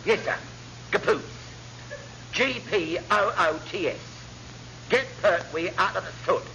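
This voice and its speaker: A cheeky English navy chief in a classic radio sitcom. He is crafty and mock-humble, with a high, long-winded delivery. The old recording is muffled. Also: lively intonation, a little slow, bright, forceful tone, slight background noise, exaggerated voice. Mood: sly. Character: crafty, cheeky, wheedling male chief petty officer. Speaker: actor in radio comedy.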